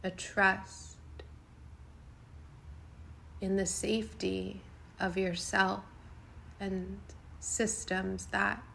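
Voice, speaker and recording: A woman guiding a yoga nidra meditation in a soothing, unhurried way, speaking in short phrases with long pauses. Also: mid-range voice, flat delivery, creaky, bright, forceful tone, slight background noise. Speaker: meditation guide.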